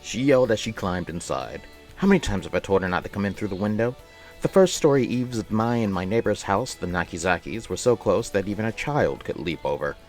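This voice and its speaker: A man's voice: fairly low pitch, lively intonation, brisk, a little mellow, faint music behind. A man livestreaming, casually chatting with viewers and reading aloud in a rambling, playful way that often repeats phrases. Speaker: live streamer.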